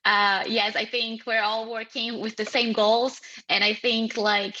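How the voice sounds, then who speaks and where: A woman's voice: fairly high pitch, little pitch movement, a little slow, sharp tone. A woman moderating an online youth event, upbeat and chatty, with many fillers. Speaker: webinar moderator. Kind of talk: casual online event hosting. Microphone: video call. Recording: muffled online call audio.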